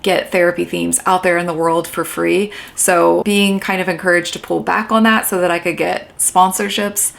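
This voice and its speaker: Woman with a heavy vocal fry. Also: lowish voice, fairly even intonation, moderate pace, few pauses, a bit bright. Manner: chatty and rambling, sometimes dropping to a whisper. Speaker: therapist. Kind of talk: casual reaction commentary.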